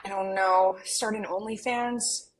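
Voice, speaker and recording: A woman playing a dry, stubborn, principled Millennial twin at a romcom script read, with a deadpan manner. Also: medium pitch, a little slow, slight background noise, natural voice.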